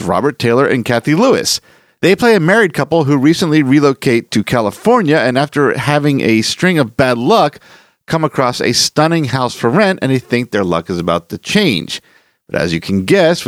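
A man hosting a vintage radio show, giving a scripted, inviting, presentational introduction. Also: medium pitch. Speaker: podcast host introducing vintage radio episodes. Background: background music.

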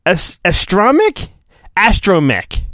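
A man's voice: high voice, wildly swooping pitch, slow, a bit bright, dry recording. A man hosting a podcast, casual and self-deprecating, reading a children's storybook aloud in a playful mock-dramatic way. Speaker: podcast host.

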